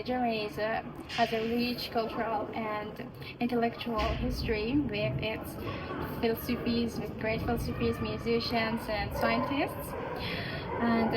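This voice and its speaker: A teenage girl from Afghanistan answering interview questions in English, hesitant, with many fillers and restarts. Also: a little high. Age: teens. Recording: distant mic with clear background noise.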